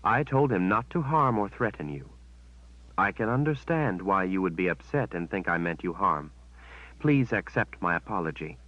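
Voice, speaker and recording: A man voicing a smug, menacing witch woman who speaks in a cold, measured way. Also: low-pitched, animated. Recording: dull, dry sound.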